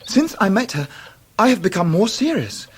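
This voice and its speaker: An actor playing an earnest, polite young gentleman in love in a radio drama, ardent and a little stammering. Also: high-pitched, very animated, moderate pace, slightly rough, full character voice. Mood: ardent, flustered. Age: young adult. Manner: ardent, stammering, well-mannered.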